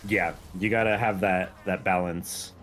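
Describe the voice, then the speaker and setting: A man's voice: low-pitched, steady tone, measured pace, a bit bright, a little hiss or hum. A man talking casually and enthusiastically on a gaming stream, explaining game details in a rambling way. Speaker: game mod creator guesting on a stream.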